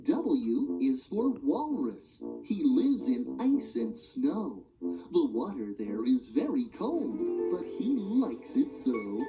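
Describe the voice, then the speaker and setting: A woman reading a rhyming children's animal alphabet book aloud in a sing-song, expressive way. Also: extremely low pitch, slow pace. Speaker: storybook reader. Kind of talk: children's read-along narration. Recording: dull lo-fi audio with music behind.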